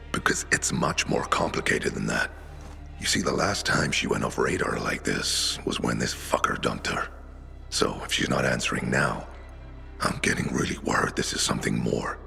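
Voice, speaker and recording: A very low-pitched, weathered older man, the grave veteran leader of a band of survivors in a video game. His voice crackles with gravel yet has a forceful edge, and he speaks with deliberate, reflective weight over faint music. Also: heavily acted. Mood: worried. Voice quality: gravelly, rough. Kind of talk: video game cutscene acting.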